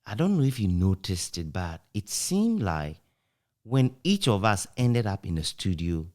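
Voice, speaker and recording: A Ghanaian man with a low-pitched voice talks in a relaxed interview setting. He looks back thoughtfully, pausing as he goes and restating phrases to stress them. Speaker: interviewer, author reminiscing with former colleague. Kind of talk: conversational, reminiscing interview.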